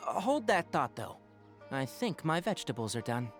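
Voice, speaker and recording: Tactful, lilting game character. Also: female voice, a bass voice, big pitch swings, moderate pace, creaky, heavily acted. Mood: mild, tactful. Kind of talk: video game voice acting. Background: game music.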